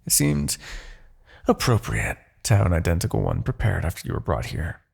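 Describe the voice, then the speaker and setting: A man's voice: deep voice, wildly swooping pitch, normal speed, creaky, a little mellow, slightly rough, heavily acted. A man playing a smug, possessive demon king in an ASMR roleplay, speaking with haughty, theatrical formality. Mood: smug, indulgent. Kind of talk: ASMR roleplay, dramatic character acting.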